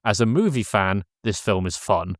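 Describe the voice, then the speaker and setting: A man with a fairly low voice talks casually as a podcast co-host. He tells things in a jokey, loose storytelling manner. The recording is dry and close. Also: lively intonation, moderate pace, dry recording. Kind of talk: casual film recap chat.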